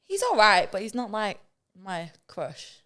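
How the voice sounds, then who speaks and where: A woman's voice: medium pitch, big pitch swings, a little slow, sharp tone. Chill woman guest on a podcast, cantonese was her first language, laughs and teases the hosts. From England. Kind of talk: casual chat.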